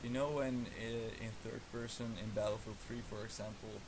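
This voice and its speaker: A young man with a Dutch accent chats his way through a live game stream, filling gaps with fillers and quick chuckles. His delivery stays flat and even in pitch. A constant layer of background noise sits under the voice. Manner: casual, rambling, many fillers, chuckles often. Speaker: livestreaming gamer. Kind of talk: live gameplay commentary.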